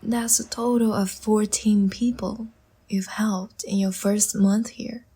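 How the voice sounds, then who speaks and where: Woman playing a languid, breathy, half-whispering vampire seductress. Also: mid-range voice, fairly even intonation. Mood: soothing, teasing. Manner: slow, soft, teasing and soothing. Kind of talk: intimate ASMR audio roleplay.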